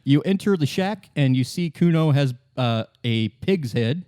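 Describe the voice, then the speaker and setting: A man running a tabletop game as game master, improvising his narration in a casual, halting, good-humored way. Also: fairly high pitch, lively intonation, mellow, clear, resonant tone, no echo, studio quality. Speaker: game master, podcast host.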